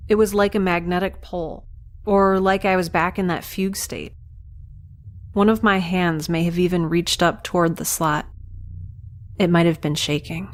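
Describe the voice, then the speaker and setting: A woman playing a wry, lower-voiced captive scientist. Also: lightly acted. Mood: uneasy, recounting. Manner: wry, conversational, sardonic, stubbornly reasoning. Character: wry, defiant young woman scientist held captive in space. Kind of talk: first-person audio drama monologue and dialogue. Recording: faint background noise and ambience.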